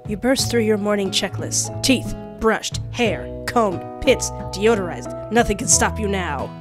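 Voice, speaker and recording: A woman hosting a gaming video in a playful, expressive, jokey and theatrical way, close on the mic. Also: medium pitch, a little slow, over music. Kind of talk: playful let's-play commentary with read-aloud game text. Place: small home room. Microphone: close microphone on boom arm.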